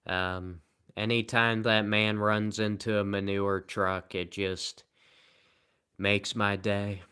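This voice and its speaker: A man's voice: lowish voice, level tone, slow, bright, forceful tone, clear, resonant tone. Tired, sarcastic man live streaming. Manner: rambling and sarcastic, with self-mocking jokes. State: tired, low energy. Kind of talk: casual live stream chat, answering viewer comments.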